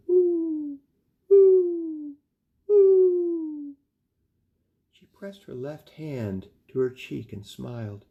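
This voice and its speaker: A man's voice: mid-range voice, creaky, dark tone, highs cut off. A man in his fifties reading a children's bedtime story expressively, like a grandfather, in a room at home. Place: small home room, indoors.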